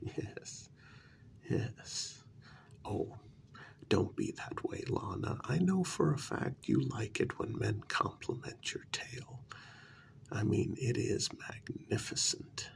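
A man doing a soft-spoken ASMR role-play as a smooth, flattering talent agent, with a breathy, gravelly half-whisper, pausing often and repeating words soothingly. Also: on the low side.

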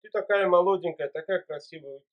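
A man in his forties speaks with a slightly raised pitch, offering warm, fatherly advice in a relaxed video chat. His voice comes through a thin, phone-like webcam line from a small indoor room. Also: fairly quick. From Uzbekistan. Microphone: webcam mic. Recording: tinny phone-like webcam audio.

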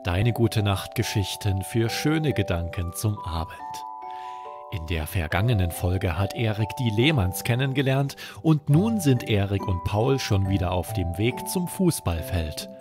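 Slow-paced man telling bedtime stories. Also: lowish voice, expressive, music in the background. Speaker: children's author, podcast host and storyteller. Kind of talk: scripted bedtime story narration.

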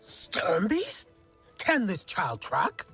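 A stern alien huntress in a video game. She speaks slowly, with big leaps in pitch, probing and challenging the listener. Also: huge pitch swings, vocal fry, a bit bright, husky, faint music behind, full character voice. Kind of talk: video game cutscene acting.

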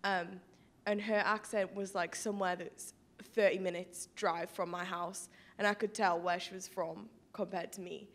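A young woman speaks up from the audience. Her voice stays flat, and she fills her sentences with "like" and "um". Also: mid-range voice, moderate pace. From northern England. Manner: casual, uses "like" and "um" a lot. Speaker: audience member.

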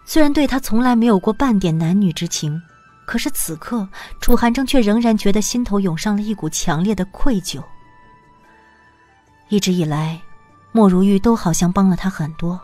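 A woman narrating an audio drama in an even, measured storytelling voice. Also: mid-range voice, faint music behind.

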